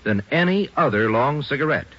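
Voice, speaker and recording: A man's voice: medium pitch, animated, fairly quick. A man announcing a vintage radio advertisement with an emphatic sales delivery. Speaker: commercial announcer. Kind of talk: 1940s-50s radio advertisement. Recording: dull vintage radio recording.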